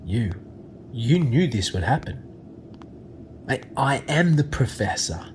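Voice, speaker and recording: A man's voice: medium pitch, big pitch swings, takes it slowly, a little mellow. An actor playing an earnest, thoughtful ordinary man in an audio drama, slightly hesitant in his delivery. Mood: earnest, accusing. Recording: noisy ambience behind the voice.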